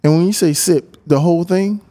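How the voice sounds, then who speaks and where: A co-host who teases and jumps in with quick questions in a casual chat. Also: medium pitch, mellow.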